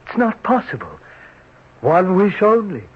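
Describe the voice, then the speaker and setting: An actor playing a polite, sly demon posing as a servant, with husky, formal, clipped phrasing in an old radio drama. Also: male voice, sits high, huge pitch swings, measured pace, full character voice. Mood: politely sly. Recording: dull lo-fi old radio recording.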